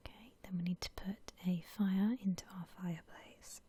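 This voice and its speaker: A woman whispering gently and unhurriedly in a soft, breathy ASMR style, musing aloud as she comments on a game. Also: medium pitch, fairly even intonation, moderate pace. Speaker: host of an ASMR gaming channel.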